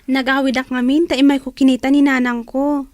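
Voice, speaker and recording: An actress plays a meek, obliging schoolgirl in a radio drama. She has an Ilocano accent and a high voice, recorded close and dry. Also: little pitch movement, fairly quick, a little mellow, no echo, natural voice. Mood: earnest, meek.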